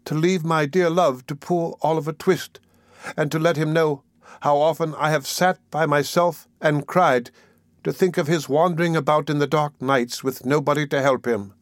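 A man's voice: fairly high pitch, steady tone, normal speed, dry recording, studio quality, voice pushed up, close to an everyday voice. A man voicing a meek, frail orphan boy in a storybook reading, speaking in a faint, faltering, earnest way. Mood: earnest, plaintive. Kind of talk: audiobook character acting.

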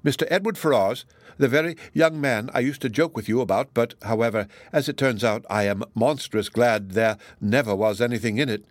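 A man's voice: mid-range voice, a little fast, no room sound, close to an everyday voice. A man voicing a chatty, good-hearted older matron in an audiobook, gossiping in a rambling, exclamatory and indignant way. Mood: astonished, gossipy. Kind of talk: audiobook character dialogue.

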